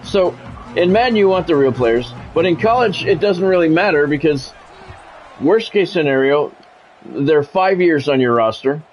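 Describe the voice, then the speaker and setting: A man's voice: a little high, lively intonation, normal speed. A man giving casual, rambling, conversational commentary as he hosts a football video game stream. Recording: dull lo-fi audio with music behind.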